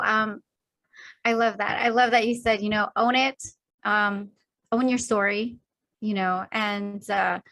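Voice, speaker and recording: A woman speaking as a guest in a motivational podcast conversation, lively and animated with upbeat pep-talk phrasing. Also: on the high side, poor audio.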